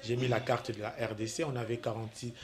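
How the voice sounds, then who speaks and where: A man's voice: mid-range voice, flat delivery, fast talker, a little mellow. Hesitant Congolese-born male film producer. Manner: hesitant, repeats himself, mixes in Lingala. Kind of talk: TV interview, casual answers.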